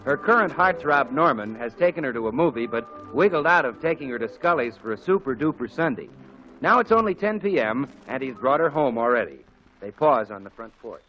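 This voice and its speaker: A man's voice: mid-range voice, expressive, a little fast. A man working as a 1940s radio announcer, reading advertising and narration in a polished, emphatic style. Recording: dull 1940s radio broadcast with music.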